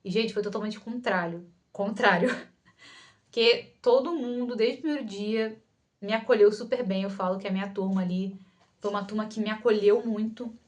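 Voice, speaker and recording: A woman's voice: mid-range voice, moderate pace. Young Carioca woman chatting casually. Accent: Carioca Brazilian Portuguese. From Rio de Janeiro, Brazil. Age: young adult. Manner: informal, conversational, frequently addresses listeners with check-in tags. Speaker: psychologist answering followers' questions. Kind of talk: casual talk to camera, Q&A.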